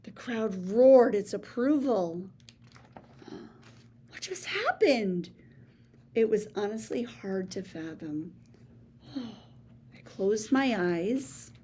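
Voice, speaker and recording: A woman reading a children's picture book aloud, expressive, with gasps and hushed asides to her listeners. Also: mid-range voice, extremely slow, long pauses, slight background noise. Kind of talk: read-aloud storytelling for children.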